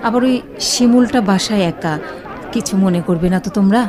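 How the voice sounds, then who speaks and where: An actress playing a worried, gentle, apologetic mother of a hospital patient in a Bengali radio drama. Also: mid-range voice, fairly quick, crowd noise, lightly acted.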